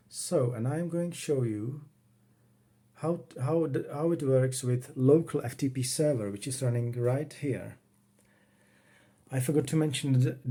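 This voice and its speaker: Brief: Czech man speaking English, mellow tone, plain easygoing hobbyist, home workroom, keyboard clicks behind. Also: medium pitch, husky. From Czech Republic. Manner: unhurried, plain, talks while working the computer. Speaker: retro computing hobbyist. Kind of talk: casual home demo walkthrough.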